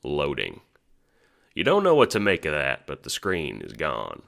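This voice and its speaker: A man's voice: a bass voice, huge pitch swings, measured pace, creaky, a bit bright. A man reading second-person scripted narration for an audio drama. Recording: background music under the voice.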